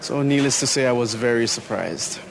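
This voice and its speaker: A man's voice: medium pitch, moderate pace, gravelly, low-quality recording. A man from Mexico telling an anecdote as an interviewee. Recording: busy outdoor crowd and traffic.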